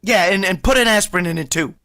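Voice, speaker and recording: A man's voice: high voice, fast, sharp tone. An actor playing a boastful, easily flustered working-class man who blusters and stammers when he gets nervous, in vintage radio comedy style.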